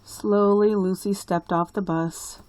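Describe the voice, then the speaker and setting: A woman reading a children's story aloud as a storyteller, with deliberate, expressive phrasing. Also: medium pitch, no room sound. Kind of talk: expressive picture-book read-aloud.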